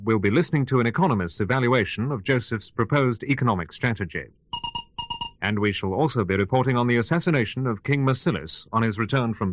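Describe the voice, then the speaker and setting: Man acting as animated, formal radio news anchorman. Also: fairly low pitch, no echo. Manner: formal, announcer-like delivery. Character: radio news anchorman presenting ancient Egyptian news. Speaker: news presenter. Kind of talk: scripted radio news bulletin.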